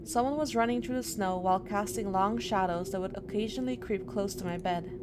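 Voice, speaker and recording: A woman's voice: medium pitch, brisk, background noise. A woman doing casual let's-play commentary and reading game text aloud, sounding slightly under the weather. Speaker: streamer playing a horror visual novel.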